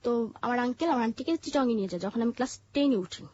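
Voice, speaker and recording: A woman with a Bangladeshi accent telling her life story in an interview, haltingly, with pauses and repeated phrases. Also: a little high, expressive, a little mellow. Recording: muffled, dull lo-fi audio.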